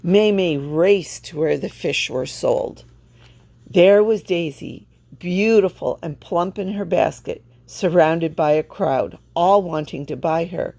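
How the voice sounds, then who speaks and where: A woman reading a children's storybook aloud with expressive, deliberate phrasing. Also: lowish voice, creaky. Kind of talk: read-aloud storybook narration.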